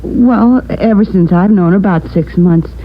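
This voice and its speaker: A woman's voice: mid-range voice, moderate pace, lightly acted. An American woman playing a plain, hesitant young elevator operator in an old radio drama. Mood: hesitant, concerned. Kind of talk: 1940s-50s radio drama acting. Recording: muffled vintage radio recording, faint noise.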